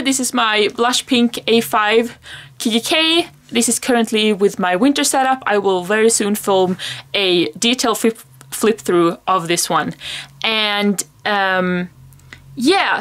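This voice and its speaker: A woman's voice: a little high, fairly bright tone. A young woman whose first language is Swedish, chatting to camera in a rambling, self-conscious way with frequent small laughs. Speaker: planner vlogger. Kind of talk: casual talk to camera.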